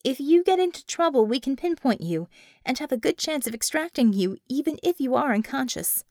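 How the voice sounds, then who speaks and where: A woman voicing a small young female magician-technician who explains things in a brisk, businesslike way, in an audiobook. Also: high-pitched, very animated, a little mellow, no room sound, clean studio sound, pitched above the performer's own voice. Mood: matter-of-fact. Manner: matter-of-fact, businesslike, explanatory.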